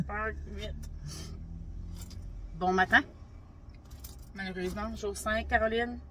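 A woman's voice: medium pitch, fairly even intonation, slow pace, sharp tone, a little hiss or hum. Brief: Quebec woman, 40s, travel vlogger, laughs through retakes, phone mic in a car. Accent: Quebec French. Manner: giggly, restarting her intro. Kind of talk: casual chat. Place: parked car. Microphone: phone camera on the dashboard.

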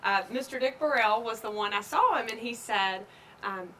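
A young woman from Georgia tells her story as a guest on a studio interview set. Her voice is bright and lively, and she chats along with frequent ums while quoting others. A faint hiss sits under the lapel mic. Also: a little high, moderate pace, poor audio. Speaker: guest, schoolteacher. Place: living-room style studio set.

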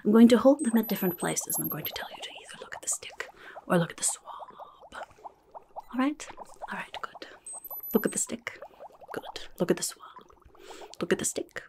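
A woman voicing a rude, impatient goblin clinic helper in a whispery, creaky ASMR roleplay, muttering briskly and sarcastically up close. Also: mid-range voice, animated, a little mellow, a little hiss or hum. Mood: brisk, fussy. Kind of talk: ASMR roleplay, chaotic medical exam. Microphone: close-up microphone.

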